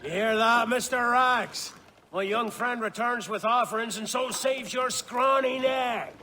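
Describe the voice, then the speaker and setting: An actor playing a flamboyant, bullying pirate in a video game, theatrical and drawn-out, with faint noise behind. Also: male voice, very high-pitched, sharp tone, full character voice. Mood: gleeful menace. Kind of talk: dramatic video game acting.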